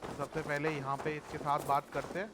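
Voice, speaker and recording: A man hosts a gaming video with casual commentary. He rattles along very rapidly in a slightly high voice, over clearly audible noise. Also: little pitch movement. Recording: clearly noisy with clicks.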